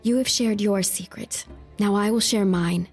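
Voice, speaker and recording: A woman's voice: mid-range voice, measured pace, darkish tone, breathy edge. A young noblewoman in an audio drama, earnest and determined. Her voice sits at an average height and her speech is polite and formal, with faint music behind it. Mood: yearning.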